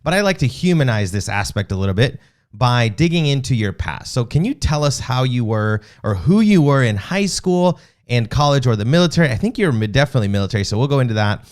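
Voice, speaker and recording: A man's voice: mid-range voice, lively intonation, quick pace. A man hosting a casual podcast interview, upbeat and chatty. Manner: upbeat, chatty, reads sponsor spots. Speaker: podcast host.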